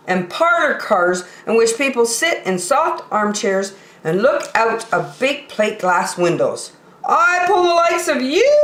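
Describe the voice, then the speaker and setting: A woman's voice: on the high side, fairly bright tone, echoey room, pitched above the performer's own voice, heavily acted. A woman voicing a haughty, boastful male passenger engine in a children's storybook. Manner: snooty, drawn-out boasting. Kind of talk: children's storybook read-aloud.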